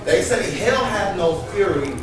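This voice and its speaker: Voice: medium pitch, lively intonation, measured pace. A spoken word poet performing live, with an emphatic, impassioned, rhythmic delivery. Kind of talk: live performance poetry with sung passages. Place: live poetry event before an audience. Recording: echoey live venue, distant mic, audience noise.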